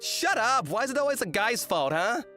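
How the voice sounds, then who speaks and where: A man's voice: very high voice, very animated, moderate pace, bright, forceful tone, soft background music, full character voice. A man playing an exasperated eighteen-year-old student in a manga rom-com dub, complaining and narrating with indignant drama. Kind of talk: manga dub acting and first-person narration.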